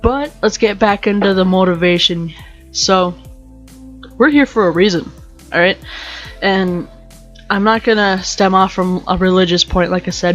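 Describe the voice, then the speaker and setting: A young woman with a deep voice hosting a casual motivational rant podcast, rambling and joking as she goes. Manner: rambling, joking, self-interrupting. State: slightly sick with allergies. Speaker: podcast host.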